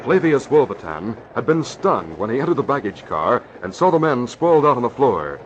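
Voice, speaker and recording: A man gives dramatic scripted narration for a vintage radio serial. His mid-pitched voice swings with lively intonation over a muffled, noisy old recording. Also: moderate pace.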